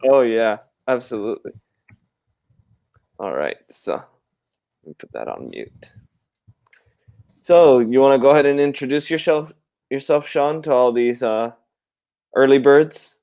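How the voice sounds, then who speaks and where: A man from Puerto Rico, co-hosting a casual live stream and explaining things slowly. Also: mid-range voice, animated, darkish tone. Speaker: co-host.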